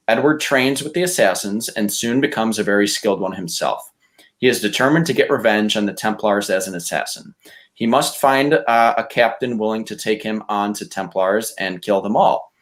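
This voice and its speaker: A man chatting informally as a podcast contestant pitching movie ideas. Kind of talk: casual banter and pitching.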